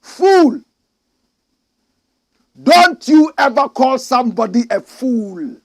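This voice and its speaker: A man from Ghana giving impassioned political commentary, preachy and emphatic, swinging from loud outbursts to low asides. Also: big pitch swings, slow.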